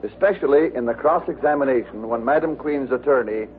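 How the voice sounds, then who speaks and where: An actor playing the male storyteller of an old radio sitcom, narrating in a measured, scripted way. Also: medium pitch, lightly acted. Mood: storytelling. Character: male storyteller recounting a comic trial. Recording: tinny old radio, faint noise.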